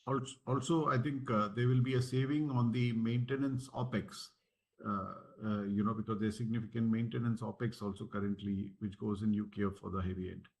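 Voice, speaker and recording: A man's voice: on the low side, steady tone, a little fast, gravelly, darkish tone. A man speaking as a company executive, giving matter-of-fact, point-by-point answers on an earnings call.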